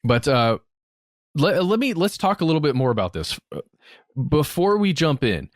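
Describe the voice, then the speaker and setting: A male podcast co-host talking casually and cackling often. His pitch swings widely as he talks. The recording is dry and close. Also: sing-song, moderate pace, dry recording. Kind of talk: casual chat.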